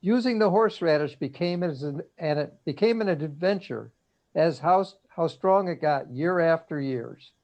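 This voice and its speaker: A man giving a prepared personal reminiscence in measured short phrases over a muffled video call. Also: on the high side, animated, darkish tone. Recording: dull, lo-fi video call audio.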